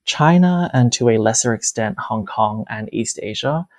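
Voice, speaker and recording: A man's voice: mid-range voice, lively intonation, moderate pace. A man with an Australian accent speaking as a podcast host, presenting and interviewing on a policy show. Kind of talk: scripted podcast introduction and interview.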